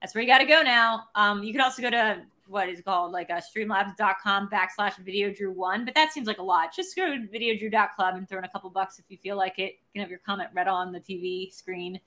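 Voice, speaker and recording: Young woman cohost just chatting about movies, says like constantly, really bright voice. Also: medium pitch, fast, hardly any pauses. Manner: rambling, heavy use of "like". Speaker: podcast and stream co-host. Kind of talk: casual film chat.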